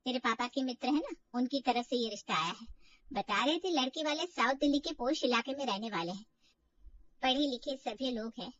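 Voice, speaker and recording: Coaxing middle-aged mother a female storyteller acting, high voice, reassuring, persuasive, dull audio. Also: a little fast, fairly bright tone, no room sound, recorded from a distance.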